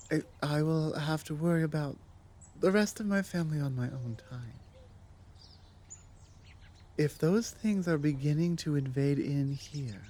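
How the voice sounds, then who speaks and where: A woman playing a proud, ancient dragon scholar burdened by guilt in an ASMR audio drama, speaking with commanding deliberation and halting dramatic pauses. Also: low-pitched, dark tone, exaggerated voice. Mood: grave, intent.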